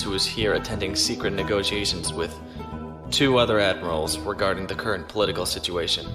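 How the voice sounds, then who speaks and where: A man playing a calm, courteous space navy lieutenant in an audio drama, speaking in a measured, dry way. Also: lowish voice, natural voice. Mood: grave, formal. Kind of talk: audio drama acting with log narration.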